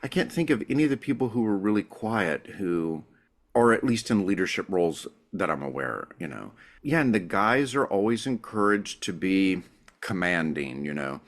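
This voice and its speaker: A man's voice: low voice, normal speed. A middle-aged man with an East Tennessee Southern accent, chatting casually as a podcast guest and acting out his own remarks as he tells stories. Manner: animated storyteller who acts out his own quotes. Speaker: marketing professor, podcast guest. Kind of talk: casual conversation.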